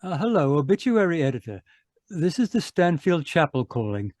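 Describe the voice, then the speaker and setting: A businesslike funeral clerk, played by a man, talks courteously as if on a telephone. The voice is somewhat high and very soft-edged, matter-of-fact radio drama acting. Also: sing-song, moderate pace, no echo, studio quality. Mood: polite, businesslike.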